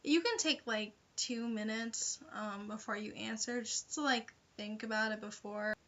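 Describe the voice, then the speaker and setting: A young woman talks in a bright, slightly high voice, as if giving friendly tips to viewers of her vlog. Her delivery is casual and chatty, full of informal hedges. The sound is a bit dull and lo-fi, like a simple home recording. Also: unhurried. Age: young adult. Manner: informal, hedging, frequent "like" and "you know". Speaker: vlogger giving interview tips.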